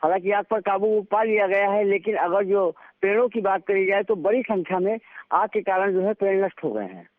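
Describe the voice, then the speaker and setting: A man giving an unscripted correspondent's report by phone, in halting, padded phrases. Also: high-pitched. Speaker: news correspondent. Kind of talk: live unscripted field report. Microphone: phone call. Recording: muffled narrowband phone line.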